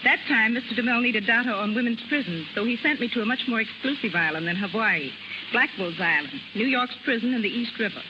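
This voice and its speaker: A woman tells rehearsed stories as a radio guest in a dry, wry way. The 1930s broadcast sounds dull, with clear background noise. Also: a little high, fast. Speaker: film script girl, radio guest.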